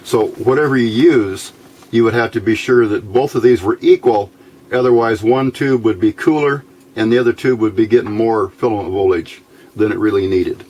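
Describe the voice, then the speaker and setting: A man's voice: lowish voice, moderate pace, a little mellow. An American man giving an informal technical tutorial as a hobbyist host, plainspoken and a little hesitant.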